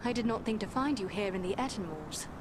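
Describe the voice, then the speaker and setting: An actress playing a composed, knowledgeable elf in a video game, speaking formally. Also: female voice, medium pitch, lively intonation, brisk, background noise, lightly acted. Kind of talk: video game cutscene dialogue.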